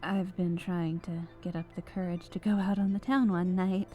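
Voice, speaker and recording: Woman playing a soft, low-voiced remorseful witch. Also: little pitch movement, normal speed, muffled recording, lightly acted. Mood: shy, wistful. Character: remorseful battle-scarred renegade cyborg witch, ex-soldier. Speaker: ASMR voice performer. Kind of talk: scripted roleplay ASMR monologue. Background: background music.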